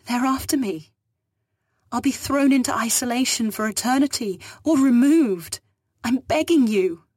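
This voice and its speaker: A woman's voice: medium pitch, a little slow, a little mellow, slightly rough, no echo, studio quality, pitched above the performer's own voice. A woman voicing a frightened, ancient digitized alien woman who pleads for asylum in an afraid, breathless way. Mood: panicked. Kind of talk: audio story dialogue.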